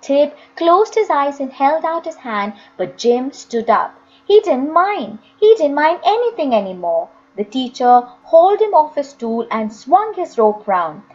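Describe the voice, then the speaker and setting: A woman's voice: sits high, big pitch swings, measured pace, hardly any pauses, darkish tone, highs cut off. A woman narrating a children's novel expressively, as an audiobook reader.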